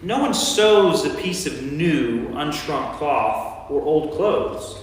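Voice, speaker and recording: Guy playing jesus teaching, firm and deliberate, slightly high male voice, way off in a boomy church. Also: animated, a little hiss or hum. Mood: instructive. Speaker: lay reader in a staged church reading. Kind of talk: staged scripture reading. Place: large church interior. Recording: very echoey church, distant mic.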